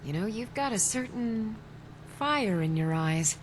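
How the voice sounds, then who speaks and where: A woman's voice: on the high side, lively intonation, measured pace, very noisy. A woman playing a flirtatious, teasing witch companion in a fantasy video game. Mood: seductive. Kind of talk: video game character dialogue.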